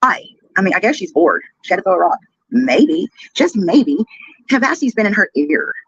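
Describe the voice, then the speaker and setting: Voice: medium pitch, big pitch swings, moderate pace, creaky, a bit bright, breathy edge, no echo. A livestreamer talks casually in a chatty, sarcastic way, with swearing thrown in. The sound is close and dry, slightly dull and lo-fi. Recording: dull lo-fi replayed livestream audio.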